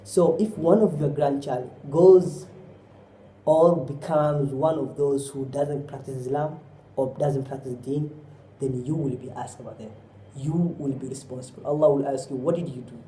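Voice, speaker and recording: Male preacher, deep mellow tone, pressing questions, roomy echo. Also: steady tone, normal speed, slight background noise. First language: Somali. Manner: exhorting with repeated rhetorical questions; often drops in Arabic phrases. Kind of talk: sermon.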